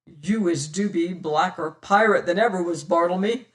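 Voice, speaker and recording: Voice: medium pitch, moderate pace, recorded from a distance, lightly acted. A reader voicing a bold, cunning male pirate captain who talks hearty old-fashioned sea talk. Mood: hailing.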